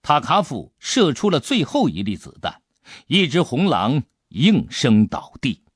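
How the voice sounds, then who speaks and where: 一位男性有声小说演播者，用富有悬念和表现力的方式讲述故事。. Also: male voice, medium pitch, measured pace, slightly rough, no room sound. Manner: suspenseful, expressive delivery. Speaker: audiobook narrator. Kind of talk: dramatic scripted storytelling.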